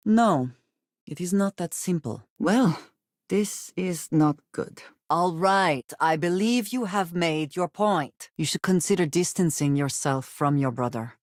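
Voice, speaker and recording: An actress playing a guarded, earnest woman space adventurer in a video game, speaking in measured, formal phrases with few contractions and a dry wit. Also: deep voice, darkish tone, dry recording. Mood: urgent, firm. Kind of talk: video game character acting.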